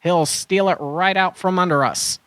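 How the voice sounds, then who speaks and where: A performer playing an eager animal adventurer in a children's audio drama, earnest and expressive. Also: medium pitch, moderate pace, clear, resonant tone, no room sound, studio quality, lightly acted. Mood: urgent.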